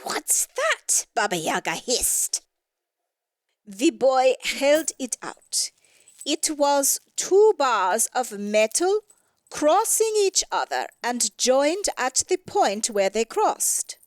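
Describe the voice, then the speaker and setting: Slow, animated woman storyteller. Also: high voice, no echo, studio quality. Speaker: narrator. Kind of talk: scripted dramatic storytelling.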